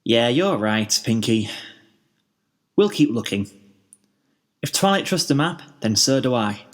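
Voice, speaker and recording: A man's voice: medium pitch, big pitch swings, a little slow. A man voicing a small, young, earnest and easily discouraged cartoon dragon with a plaintive manner in a story reading. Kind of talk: fan fiction character reading.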